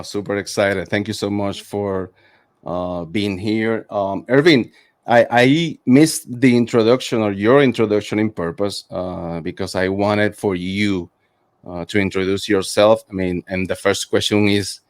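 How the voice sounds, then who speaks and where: A man's voice: lowish voice, fairly even intonation, unhurried, dry recording, studio quality. A middle-aged man hosting a podcast in a casual interviewing style. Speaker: podcast host.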